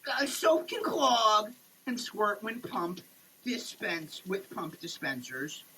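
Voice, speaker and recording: A man performs a theatrical dramatic reading in a high voice that swings widely in pitch. His delivery is halting and over-emphatic, played for comedy. There is light ambient noise in the recording. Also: big pitch swings, pushed and bright, rough recording. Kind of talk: dramatic reading of a product label.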